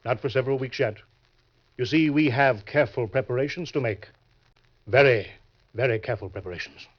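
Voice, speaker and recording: An actor playing a crafty, genial older swindler in an old-time radio crime drama, speaking in a suave, deliberate way. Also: male voice, medium pitch, lightly acted. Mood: sly, assured. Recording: dull vintage radio recording.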